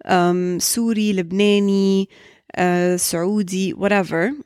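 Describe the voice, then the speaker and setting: A woman's voice: mid-range voice, fairly even intonation, normal speed, darkish tone, clean tone, no echo, studio quality. A young woman with an American English accent, hosting a solo podcast and speaking frankly, switching between Arabic and English mid-sentence. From Saudi Arabia. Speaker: podcast host.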